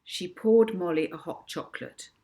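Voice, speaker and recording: A woman's voice: fairly low pitch, normal speed, vocal fry, mellow. A woman author narrating her own children's book, with casual asides to the listener.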